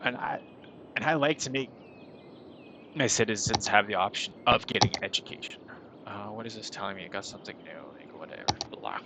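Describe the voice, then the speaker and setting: Male streamer, stuffy and nasal from a cold, gravelly tone, chatty rambling delivery, noticeable background noise. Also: lowish voice, fairly quick. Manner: rambling, chatty, self-deprecating. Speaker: gaming streamer. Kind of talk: casual gameplay commentary.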